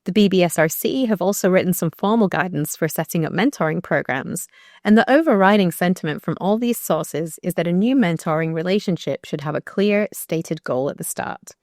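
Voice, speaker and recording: A woman with a croaky voice reading her own blog post aloud, measured and thoughtful with brief restarts. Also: lowish voice, darkish tone, no room sound, clean studio sound. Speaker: researcher narrating her blog.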